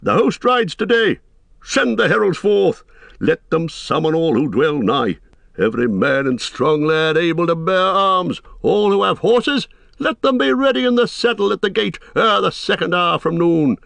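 Male narrator as old king, storybook fantasy, lifted pitch, commanding, stern, declamatory, dry close sound. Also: lively intonation, a little slow, no echo. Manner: declamatory, stern, formal archaic speech. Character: aged warrior king, proud, resolute and commanding.

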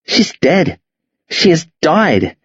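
A man voicing a frightened, anxious teenage boy in a husky, shaky voice. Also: on the high side, big pitch swings, takes it slowly, no room sound, muffled recording, voice pushed up, heavily acted. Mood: shocked.

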